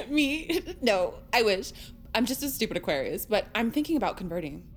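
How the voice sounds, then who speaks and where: An actress playing an earnest, gushing teenage fangirl with a quick temper in an audio drama. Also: a little high, sing-song, a little hiss or hum. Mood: starstruck. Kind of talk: audio drama comedy acting.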